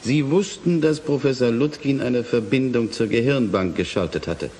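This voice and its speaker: An actor playing a sceptical, formal state prosecutor who questions witnesses in measured phrases in a radio drama. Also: male voice, mid-range voice, darkish tone, lightly acted. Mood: probing. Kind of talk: German science fiction radio drama acting. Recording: hissy audio drama recording.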